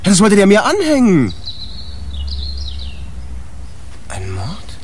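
An actor playing an excitable, blunt man who is easily outraged, in a German radio crime drama, with colloquial, incredulous delivery. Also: high voice, normal speed, a little hiss or hum. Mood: alarmed, outraged. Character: excitable, blunt male repair-shop owner, easily outraged.

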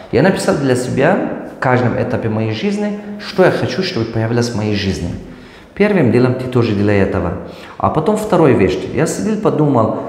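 A man in his forties with a Tajik accent, a business mentor answering viewer questions on raising children, acting out conversations with his kids. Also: mid-range voice. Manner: animated, gestures broadly, acts out dialogue with his children. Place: office with glass partitions. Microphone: lapel mic. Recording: echoey room with long reverb.

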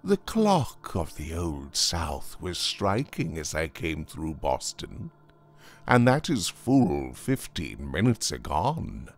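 A man acts out a sly, worldly devil in his fifties from an old storybook. The voice is deep and gravelly, taking its time over formal, archaic phrases as it smoothly persuades. Its pitch swoops widely. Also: huge pitch swings, full character voice. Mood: mild reproach. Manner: unhurried, archaic formal speech. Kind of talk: dramatised audiobook reading of a classic tale.

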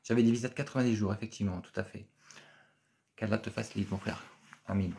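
A man, hosting a casual live stream from a small office and answering viewer comments, chatty and repetitive with many filler pauses. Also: fairly low pitch, steady tone, vocal fry, mellow, slight background noise. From France. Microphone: phone camera at arm's length.

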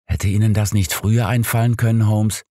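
A man's voice: lowish voice, flat delivery, brisk, dark tone, no echo, studio quality, voice pushed up, lightly acted. A man voicing an indignant doctor, a detective's exasperated companion, in an audiobook.

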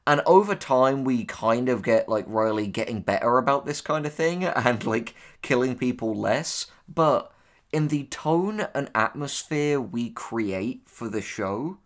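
A man's voice: medium pitch, lively intonation, unhurried. Man casually debating as co-host. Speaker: podcast co-host and audio drama writer. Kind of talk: casual discussion and critique.

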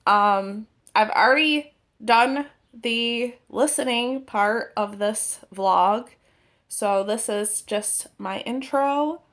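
A woman's voice: fairly high pitch, takes it slowly, fairly bright tone. A young woman with a congested, stuffy voice, chatting casually about books to a phone camera, rambling with lots of fillers. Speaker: book vlogger. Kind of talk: casual book vlog chat. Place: bedroom, then a parked car, then a room at home. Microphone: phone or webcam at arm's length.

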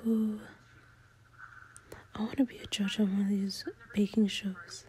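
A woman playing a drowsy, affectionate girlfriend in a casual, intimate ASMR roleplay, murmuring playfully in a breathy whisper. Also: mid-range voice, little pitch movement, unhurried, mellow, natural voice. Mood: curious, amused. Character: sleepy affectionate girlfriend cuddling while watching a baking show.

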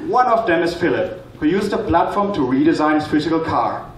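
A man in his twenties giving a rehearsed stage pitch as a student presenter, recorded in a hall. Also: expressive, brisk. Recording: echoey, noisy hall, distant microphone pickup.

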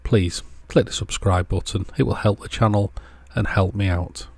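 A man with a fairly low voice narrates a practical tutorial. He is plain-spoken and understated, explaining each step casually as a channel presenter would. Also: fairly even intonation, moderate pace, gravelly, husky, no echo. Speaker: presenter of an electronics repair channel.

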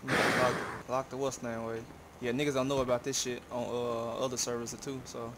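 Over clearly audible game and vehicle noise, a man with an average-pitched voice chats casually with another player. He talks in thick slang and swears freely. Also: little pitch movement, moderate pace. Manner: heavy slang, profane. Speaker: fellow online roleplay player.

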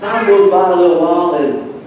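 A man giving a personal testimony in church in a conversational, halting storytelling way, acting out bits of dialogue. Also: high-pitched, a little mellow. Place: large church sanctuary, indoors. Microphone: far camera at back of the room. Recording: echoey church hall, distant mic, muffled, noisy.

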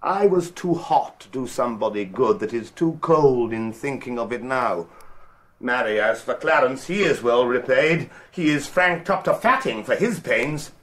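An actor playing a scheming, mock-pious royal duke, sly and sardonic, in a Shakespearean drama. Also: male voice, medium pitch, lively intonation, a little slow, a bit bright. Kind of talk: theatrical Shakespearean acting.

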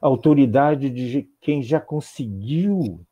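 Older man, Brazilian accent, mellow voice, measured rhythmic sermon, video call with earphone mic. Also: medium pitch. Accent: Brazilian Portuguese. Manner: measured, rhythmic phrasing with repeated clauses. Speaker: spiritist lecturer. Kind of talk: religious talk. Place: home office with bookshelves.

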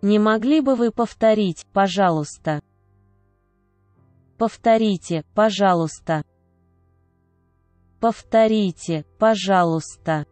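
A woman reading short phrases aloud one at a time, each clearly enunciated and measured. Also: mid-range voice, a little mellow, no room sound, soft background music. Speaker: Russian phrase reader. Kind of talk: slow repeated phrase reading.

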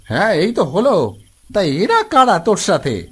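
A man's voice: high-pitched, wildly swooping pitch, a little slow, fairly bright tone, slightly rough, pitched above the performer's own voice, full character voice. A man voicing an old village grandfather in a cartoon story, avuncular and cautioning. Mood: friendly, cautioning.

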